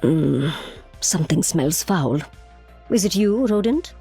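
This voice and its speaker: Husky, haughty teaser. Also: female voice, on the low side, animated, slow pace, mellow, faint music behind, full character voice. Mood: disdainful. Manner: disdainful, teasing. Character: haughty, teasing woman sizing up a rodent. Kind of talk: video game voice lines.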